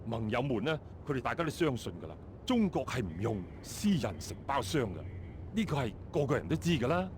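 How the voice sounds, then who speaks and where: A male actor voices a dutiful army captain from China in a video game. His mid-pitched voice moves in a lively way. He sounds deferential and assuring, with clear background noise around him. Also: brisk, natural voice. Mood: confident, dismissive. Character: dutiful Chinese army captain reporting to superiors. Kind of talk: scripted video game dialogue.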